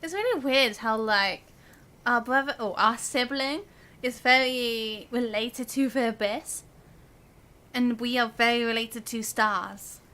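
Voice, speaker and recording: A woman streamer talks casually over her gameplay. Her voice is high and bright, and she muses aloud in a loose, chatty, giggly way. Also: unhurried. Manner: giggly, musing aloud, frequent "like". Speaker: game live streamer. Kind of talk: casual live gameplay commentary.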